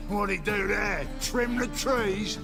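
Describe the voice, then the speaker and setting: An actor playing a belligerent, bullying street thug in a fantasy game, sneering and drawing out words in a strained voice. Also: male voice, high-pitched, gravelly, music in the background, exaggerated voice. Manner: sneering, drawn-out delivery.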